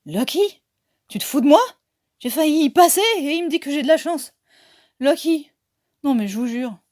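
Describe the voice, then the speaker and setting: A woman voicing an excitable, indignant French male prisoner of war in a story, with a French accent and emphatic delivery. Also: high voice, brisk, voice pushed up. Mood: indignant disbelief.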